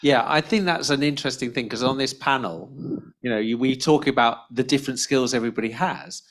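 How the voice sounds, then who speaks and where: A British man moderating a casual panel discussion, often halting and restarting his sentences in a friendly way. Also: medium pitch, a bit bright. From Britain. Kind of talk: casual online panel chat.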